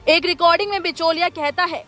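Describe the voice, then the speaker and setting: A woman's voice: extremely high pitch, fast, pushed and bright. A woman news presenter delivering a scripted news report as narration. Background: background music.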